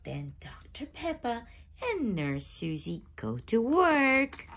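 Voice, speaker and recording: A woman reading a children's picture book aloud in a slow, expressive storybook manner. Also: a little high. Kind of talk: read-aloud narration.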